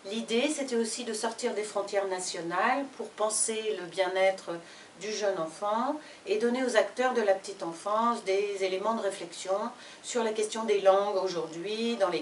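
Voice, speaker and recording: A woman, a university professor and sociolinguist, presenting a book in a fluent, measured way. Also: mid-range voice, slight background noise. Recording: echoey room from far off.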